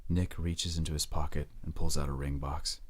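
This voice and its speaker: A man's voice: rumbling low, little pitch movement, normal speed. A man narrating a scripted audio drama as its storyteller.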